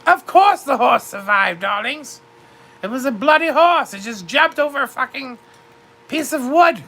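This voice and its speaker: A woman's voice: high-pitched, expressive, a little slow, sharp tone. A woman telling a comic story in a theatrical, grandly confiding way, calling her listeners darlings.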